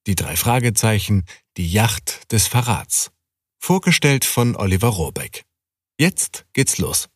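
A man's voice: on the low side, very animated, measured pace. A man reading a scripted show introduction as an announcer.

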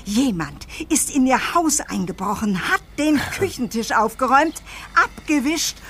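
A woman's voice: high voice, lively intonation, measured pace, a bit bright, a little hiss or hum. An actress playing an agitated, gossipy neighbour woman in a radio play, indignant and dramatic. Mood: indignant gossiping. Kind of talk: German radio play acting.

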